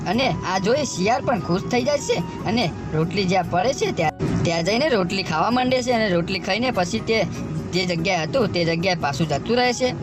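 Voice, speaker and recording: High-voiced man telling a fable. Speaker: storyteller, narrator. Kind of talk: narrating a children's animal fable.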